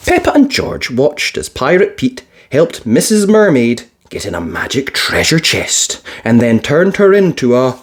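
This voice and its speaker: A woman reading a children's picture book aloud as storyteller, expressive and playful with sound words. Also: very deep voice, a little slow, few pauses.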